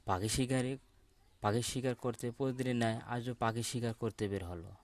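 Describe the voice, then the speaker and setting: A man's voice: mid-range voice, fairly even intonation, fairly quick. A man narrating a children's cartoon story as a voice-over.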